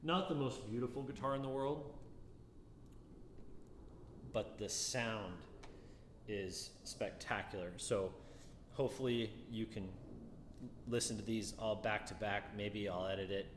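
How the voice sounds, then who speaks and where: A man with a deeper voice giving a casual guitar review, musing and repeating words as he talks. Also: unhurried, pauses often, roomy sound, big-room sound, a little hiss or hum.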